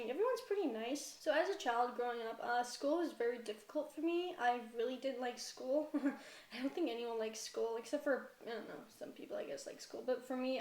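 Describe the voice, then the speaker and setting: A woman's voice: a little high, darkish tone. A young woman from Canada talking casually about her life, hesitant and full of fillers. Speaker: vlogger. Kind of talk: casual personal vlog talk. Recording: roomy echo.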